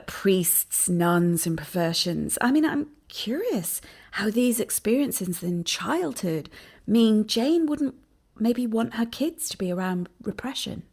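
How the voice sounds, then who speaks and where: A woman's voice: medium pitch, lively intonation, unhurried, a little mellow, husky. A woman podcast host narrating wry asides between debate clips.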